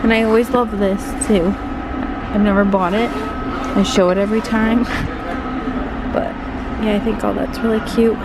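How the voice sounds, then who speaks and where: A woman's voice: mid-range voice, level tone, normal speed, long pauses. A young woman with a stuffed-up voice from a cold, chatting casually while she browses a big store and films on her phone. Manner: chatty, upbeat, lots of "like" and "kinda". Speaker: vlogger. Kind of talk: casual shop-with-me chat. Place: inside a large busy store. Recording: loud store noise, speaker and fan nearby.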